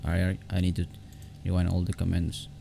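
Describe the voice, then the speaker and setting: A man hosting an unscripted software tutorial in a halting, repetitive way, often restarting his phrases. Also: sits low, completely flat delivery, creaky, a little hiss or hum. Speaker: tutorial host.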